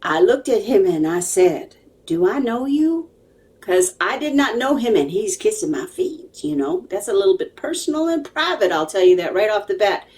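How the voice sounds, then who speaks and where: A woman's voice: mid-range voice, very animated, moderate pace, a little mellow, recorded from a distance. A woman telling a story casually to viewers in a chatty, emphatic, digressive way, often checking in with her listeners. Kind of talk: casual, animated storytelling to camera.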